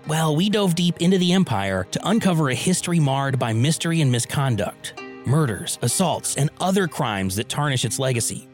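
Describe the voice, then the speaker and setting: A man's voice: on the high side, big pitch swings, normal speed, faint music behind. A man delivering scripted podcast trailer narration in a dramatic, suspenseful manner.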